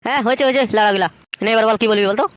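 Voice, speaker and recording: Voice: mid-range voice, racing, no echo, close to an everyday voice. A performer with a Bengali accent voicing foul-mouthed, teasing young friends in slangy comic cartoon banter. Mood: rowdy.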